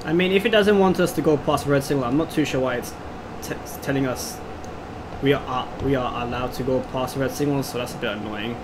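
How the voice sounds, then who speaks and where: A man with a middling pitch commentates on a game in a loose, rambling way. His voice is worn out and nearly gone. Loud simulator noise runs beneath him throughout. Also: a little fast. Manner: relaxed, rambling, with fillers. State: losing his voice. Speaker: gaming channel host. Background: loud train simulator sounds.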